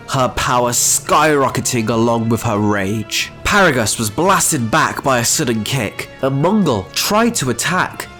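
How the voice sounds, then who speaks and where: Male narrator, medium register, scripted dramatic storytelling, soft music bed. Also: mid-range voice.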